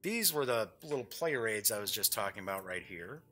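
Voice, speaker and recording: Bright-voiced man hosting an unboxing. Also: fairly low pitch, expressive, fairly quick, faint music behind. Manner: chatty, thinking aloud. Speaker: board game show host. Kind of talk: casual unboxing commentary.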